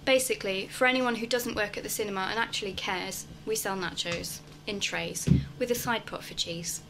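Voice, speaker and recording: A woman's voice: mid-range voice, moderate pace, heavy background noise, lightly acted. A young woman acting in a radio play, delivering scripted personal monologues. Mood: indignant. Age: young adult. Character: wistful young woman longing for home.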